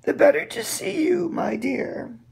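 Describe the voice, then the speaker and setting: A man's voice: very high-pitched, sing-song, a little slow, vocal fry, darkish tone, pitched above the performer's own voice, heavily acted. A man voicing a sly, hungry big male wolf in a storybook, speaking in a crafty, coaxing way. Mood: falsetto disguise.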